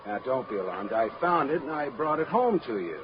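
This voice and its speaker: An American actor playing a wry, terse private detective in 1940s radio style, on a noisy old recording. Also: male voice, mid-range voice, sing-song, a little fast, lo-fi sound, close to an everyday voice. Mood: hard, taunting. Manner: terse, sardonic. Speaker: lead actor and narrator in radio detective drama. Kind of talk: 1940s radio acting, hardboiled narration.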